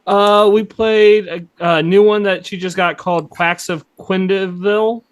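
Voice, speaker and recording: A man chatting as a podcast guest, quick and restless, telling a story with little pause. His voice sits a bit high for a man. The sound is dry, with no room echo. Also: steady tone, smooth. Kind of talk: casual chat.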